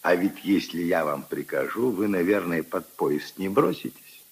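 A man's voice: sits low, animated, moderate pace, husky, exaggerated voice. An older man playing a kindly, opinionated old grandfather who muses wistfully and emphatically in a 1950s radio drama. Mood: vivid, grim. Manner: ruminative, emphatic, intimate storytelling to his granddaughter. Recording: 1950s radio play recording.

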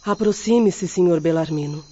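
A woman's voice: on the low side, moderate pace, warm tone, slight background noise, close to an everyday voice. A woman playing a compassionate but firm middle-aged spirit medium in a radio drama, earnestly consoling and exhorting. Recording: muffled, lo-fi radio play audio.

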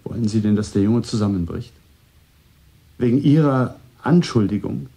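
An actor playing a composed, politely probing man in a radio drama, speaking in a measured way with a mellow, slightly husky voice. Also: fairly low pitch. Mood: calm, reproving.

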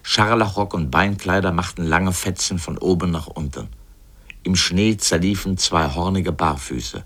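Low-voiced man narrating a literary radio piece. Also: a bit bright, breathy edge. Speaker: narrator reading a radio essay.

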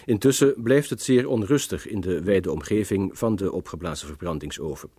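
A man's voice: low voice, normal speed, dark tone, husky, dry recording, studio quality. A man playing a sober, formal radio newsreader in a drama, reading a scripted bulletin.

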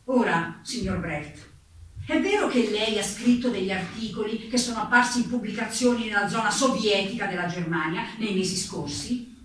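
A woman's voice: medium pitch, moderate pace, fairly bright tone, close to an everyday voice. A woman playing a stern, insistent investigator at a 1947 political hearing, in a formal theatrical radio reading. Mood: accusing, formal. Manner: formal, pressing, interrogating. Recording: echoey room, distant mic, faint noise.

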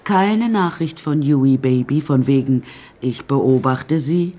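An actress playing a sardonic, much-widowed woman who recounts her life in a flippant, deadpan radio drama manner and turns indignant when challenged. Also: extremely low pitch, slow pace, full character voice. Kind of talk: radio drama narration and dialogue.

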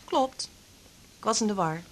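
A troubled, uneasy woman played by an actress in a radio play. She speaks haltingly and with resignation, at a medium pitch, with faint ambient noise behind her. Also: animated, close to an everyday voice. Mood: hesitant, uneasy. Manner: hesitant, subdued. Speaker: actor in a radio drama. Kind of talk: radio drama acting.

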